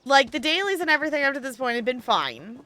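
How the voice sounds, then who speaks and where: A woman's voice: high-pitched, very animated, fast talker, sharp tone. A woman chatting casually and animatedly as she streams a video game live and talks to her viewers. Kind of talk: casual live stream chat with gameplay commentary.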